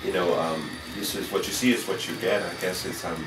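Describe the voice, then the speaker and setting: A middle-aged man from Puerto Rico, raised in New York City, talking casually and a little haltingly as a fashion designer being interviewed. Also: low voice, fairly even intonation, far from the mic, background noise. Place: designer's indoor studio with sewing machines. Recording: echoey room, off-mic, music behind.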